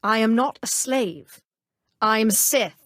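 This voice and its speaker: An actress playing a haughty, cold, ambitious Sith Inquisitor in a video game, with a clipped, imperious and threatening way of talking. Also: female voice, on the high side, slow, a bit bright, dry recording, studio quality. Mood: cold menace. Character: haughty, menacing female Sith Inquisitor, ambitious former slave. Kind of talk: video game character dialogue.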